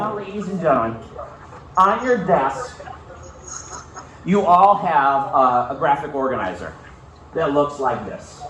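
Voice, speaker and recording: A male school teacher giving a classroom lesson in an instructional way, often checking in with short questions. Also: high voice. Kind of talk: classroom lesson with read-aloud. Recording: echoey room, far from mic, faint noise.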